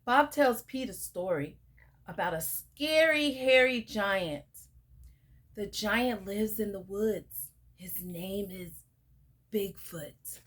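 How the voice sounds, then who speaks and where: A young woman reading a picture book aloud to children, sing-song and pausing to ask the listener questions. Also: medium pitch, slightly rough. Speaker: storyteller.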